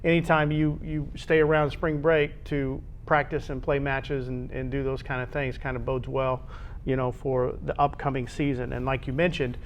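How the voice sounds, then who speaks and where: A man's voice: mid-range voice, little pitch movement, moderate pace, dull audio, a little hiss or hum. An American man co-hosting a casual discussion about sports. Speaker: co-host.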